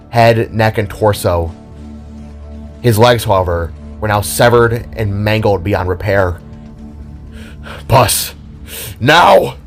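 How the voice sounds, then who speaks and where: A man narrating a scripted story in a dramatic style. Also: lowish voice.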